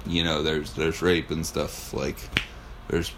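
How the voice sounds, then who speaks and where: A man's voice: a bass voice, level tone, takes it slowly, a little hiss or hum, low-quality recording. A man hosting a casual podcast, talking in a rambling, enthusiastic, sweary way. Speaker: podcast host.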